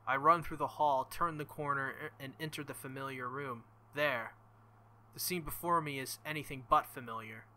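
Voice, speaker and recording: Bright excitable young man, game commentator. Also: medium pitch, normal speed. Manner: excitable, swings to loud outbursts; profane, joking. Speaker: let's-play host. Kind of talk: visual novel playthrough with commentary.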